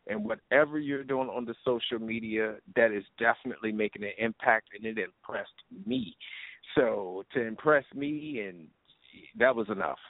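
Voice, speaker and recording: A man's voice: lowish voice, normal speed, dry recording. Effusive male radio host, muffled dry line. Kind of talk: casual interview and sign-off shout-outs. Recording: muffled lo-fi internet radio call.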